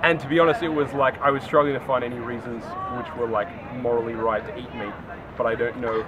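A man's voice: mid-range voice, fairly even intonation, fast. A young male university student answering a street interview candidly, with many fillers. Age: young adult. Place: outdoors on a university campus. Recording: dull outdoor recording with street noise.

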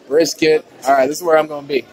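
A man's voice: fairly high pitch, little pitch movement, fast. A young man walking a buffet with a handheld phone camera, chatting casually and upbeat with many "ooh"s and "uh"s. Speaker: vlogger. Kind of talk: casual walkthrough narration. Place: large indoor buffet restaurant. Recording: noisy restaurant phone video. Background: diner chatter and clatter.

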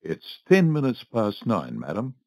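A man voicing a calm, courteous male butler who speaks in a polite, reassuring, measured way. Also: on the low side, no echo, full character voice.

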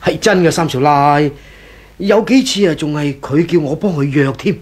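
A man's voice: a little high, moderate pace, lightly acted. An actor playing a hesitant, gossiping employee in a 1980s Hong Kong Cantonese radio drama, stammering and sighing as he feigns reluctance. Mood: insinuating.

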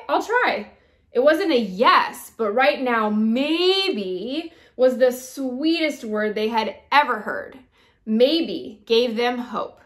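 A woman in her thirties reading a children's picture book aloud expressively, speaking to young listeners. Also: fairly high pitch. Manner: expressive, acts out dialogue, addresses young listeners. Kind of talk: children's storytime read-aloud. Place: bright room by a window.

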